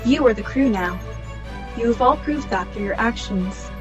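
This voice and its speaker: A woman playing a maternal, formal starship artificial intelligence in a sci-fi radio play, speaking in precise, measured sentences. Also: a little high, expressive, lo-fi sound, over music, natural voice. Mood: calm, precise. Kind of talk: science fiction radio play acting.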